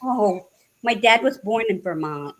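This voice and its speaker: A woman from New England with a subtle regional accent, chatting hesitantly and laughing softly as she gets a reading. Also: mid-range voice, animated, unhurried. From New Hampshire and Massachusetts, US. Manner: hesitant, frequent soft laughter. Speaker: guest receiving a reading. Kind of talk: casual chat.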